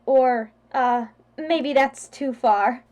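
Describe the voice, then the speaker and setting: A woman's voice: high voice, extremely slow, a little mellow. A young female student playing an entitled, neglected daughter in a radio play. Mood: resentful. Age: teenager or young adult student. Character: entitled, neglected daughter, loyal to her brother.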